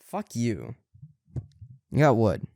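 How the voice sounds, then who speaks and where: A man's voice: mid-range voice, wildly swooping pitch, slow, vocal fry, dark tone, dry recording, clean studio sound. A man co-hosting a podcast in casual chat, rambling with lots of fillers and restarts and projecting his voice. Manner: projecting voice on camera. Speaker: podcast co-host.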